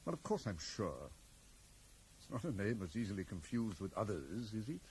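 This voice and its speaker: An actor playing a middle-aged, very correct British professor in a radio drama, guarded, brusque and easily affronted. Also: male voice, fairly low pitch, sing-song, moderate pace, darkish tone. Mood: irritated, indignant. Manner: stiff, formal, brusque. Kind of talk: 1980s radio drama acting. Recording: faintly noisy 1980s radio broadcast.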